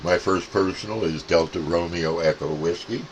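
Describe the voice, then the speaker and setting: A man's voice: low voice, moderate pace, a little hiss or hum, poor audio. An American man from upstate New York chatting casually as a CB radio operator, using call signs and radio jargon. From upstate New York, USA. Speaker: amateur CB radio operator, channel co-host. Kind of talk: casual radio contact chat.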